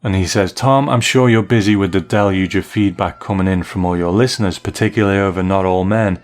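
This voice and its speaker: A man hosting a podcast, reading listener emails aloud in a measured way. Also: sits low. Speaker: podcast host.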